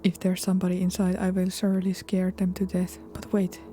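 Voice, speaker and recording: A woman records a let's play, mixing casual commentary with reading game text aloud. Her voice sits a little low and has a mellow, dark tone. She speaks softly, often slipping toward a whisper, over faint game music. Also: completely flat delivery, brisk. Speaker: video game streamer.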